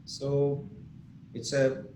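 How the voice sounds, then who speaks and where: A man's voice: mid-range voice, completely flat delivery, very slow, mellow. A man teaching an online English lesson, explaining patiently with examples and questions for his students. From Pakistan. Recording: echoey room with faint noise.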